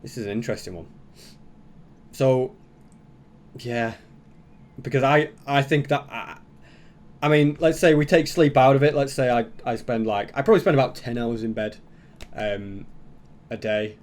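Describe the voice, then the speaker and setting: A man's voice: medium pitch, normal speed, long pauses, a little mellow. A man giving casual reaction commentary as a YouTube host, rambling and thinking aloud as he goes.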